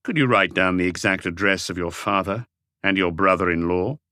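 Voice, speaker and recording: Guy reading as a calm police inspector, lowish voice, short measured questions, audiobook detective. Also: no echo, studio quality. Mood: probing. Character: calm, probing, terse male police inspector.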